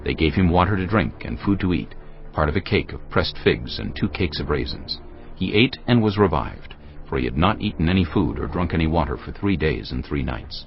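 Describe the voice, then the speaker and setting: Low voiced guy narrating a bible drama, solemn and measured but really expressive, music under it. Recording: dull audio with music behind. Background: background music.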